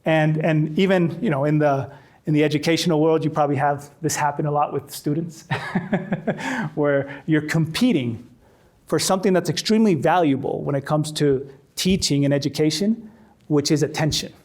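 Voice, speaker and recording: A man in his forties, from Chile, talks to a room of faculty about storytelling in a slightly high, easygoing voice. He speaks conversationally and often doubles words as he restarts a phrase. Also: moderate pace, a little mellow. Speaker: storytelling workshop trainer. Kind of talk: workshop talk to faculty. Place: classroom with a live audience.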